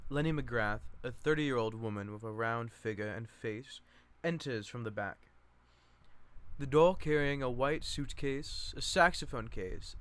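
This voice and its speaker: A man's voice: mid-range voice, a bit bright. A man reading a play's stage directions aloud as an amateur, in an informal way, breaking into his own asides. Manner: self-interrupting, joking asides. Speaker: amateur play reader.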